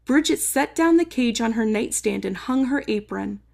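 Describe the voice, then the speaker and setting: A woman reading a children's story aloud expressively, with dramatic emphasis and some drawn-out words. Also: a little high, animated, creaky, darkish tone. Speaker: storybook reader. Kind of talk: expressive children's read-aloud, narrating in first person.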